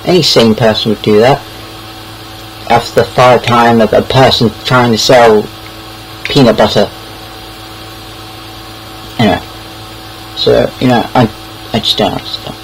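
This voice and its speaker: A man's voice: mid-range voice, steady tone, measured pace, pauses often, a little hiss or hum, rough recording. A young British man casually telling anecdotes in a rambling, hesitant way, repeating words as he goes. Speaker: online storyteller.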